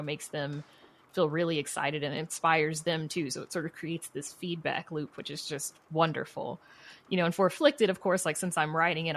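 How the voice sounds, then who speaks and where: A woman's voice: deep voice, fairly bright tone. A woman speaking casually and candidly as an interview guest, chatty and informal, peppering her talk with "you know" and "like". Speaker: interview guest, writer and audio fiction producer.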